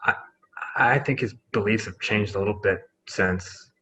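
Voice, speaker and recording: A man's voice: lowish voice, normal speed, pushed and bright, breathy edge. A man acting in a table read as a skeptical, matter-of-fact tech guy in an activist crew. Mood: dry skepticism. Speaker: actor in a screenplay table read. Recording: muffled, noisy home microphone.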